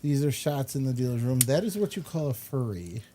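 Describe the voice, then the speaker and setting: A man hosting a talk show in casual chat, with drawn-out, emphatic repetition. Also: medium pitch, lively intonation, mellow. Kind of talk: casual chat, commenting on pictures. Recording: clear background noise.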